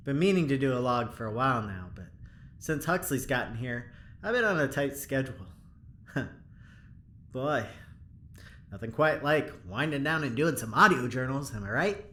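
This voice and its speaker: An actor playing a weary, easygoing lab assistant in an audio drama, talking in a folksy, laid-back way. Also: male voice, mid-range voice, animated, moderate pace, long pauses, sharp tone, reverberant, a little hiss or hum. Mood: relaxed, weary. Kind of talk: audio drama, casual log.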